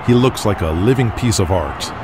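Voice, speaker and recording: A man narrating a scripted audio drama in a measured, descriptive way. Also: lowish voice, animated, background noise.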